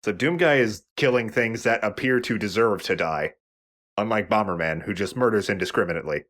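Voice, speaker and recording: A man's voice: on the low side, fairly quick, a bit bright. A man doing casual commentary as he plays a video game with others. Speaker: let's play commentator. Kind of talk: casual gameplay commentary chat. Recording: game music behind the voice. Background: video game music.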